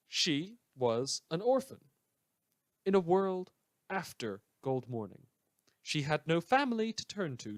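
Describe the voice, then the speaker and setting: A man's voice: on the high side, no room sound. Man acting as sardonic, theatrical villainous radio host, dry sound. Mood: wry storytelling. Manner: dramatic, sarcastic, with emphatic pauses. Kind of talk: theatrical scripted radio monologue.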